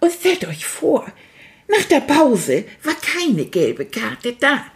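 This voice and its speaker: A woman's voice: high-pitched, huge pitch swings, slow, exaggerated voice. A woman voicing a boastful, chatty male stuffed toy rat who tells a children's story.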